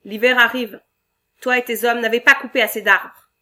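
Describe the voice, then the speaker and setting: A woman voicing a mean, mocking male logging boss in a read-aloud storybook, loud and harsh. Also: mid-range voice, takes it slowly. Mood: scornful, bossy.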